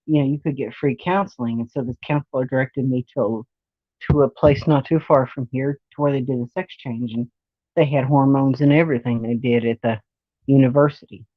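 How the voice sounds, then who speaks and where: A woman's voice: very deep voice, steady tone, brisk, no room sound, phone-quality sound. A woman in her forties giving a casual, rambling personal monologue, often circling back to repeat points. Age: 40s. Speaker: vlogger sharing personal experience.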